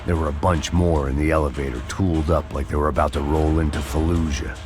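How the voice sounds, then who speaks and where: An actor playing a world-weary, cynical middle-aged American ex-cop, narrating a noir crime game with sardonic bite. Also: male voice, very deep voice, steady tone, quick pace. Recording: loud music behind the voice. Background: loud game music.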